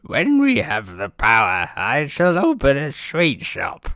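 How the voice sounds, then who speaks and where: Storybook crone, elderly and childish , male actor: slow, dim-witted, rough-edged and sharp. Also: medium pitch, huge pitch swings, dry recording, studio quality, heavily acted. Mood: dreamy. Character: dim, childish, googly-eyed old witch hag. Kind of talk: audiobook character voice.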